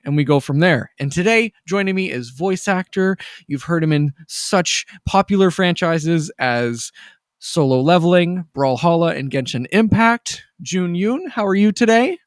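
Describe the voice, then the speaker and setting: A fairly high-pitched man hosts a relaxed podcast interview. He is upbeat, laughs easily and keeps the guest going with short affirmations. His voice sounds close and dry. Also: no echo. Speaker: podcast host. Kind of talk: casual interview chat.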